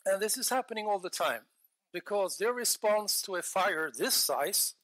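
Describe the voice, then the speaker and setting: A man's voice: high-pitched, expressive, normal speed, fairly bright tone. A man in his forties giving an earnest technical talk on a headset mic in a large conference hall, gesturing as he speaks and often restarting his phrases. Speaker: conference presenter.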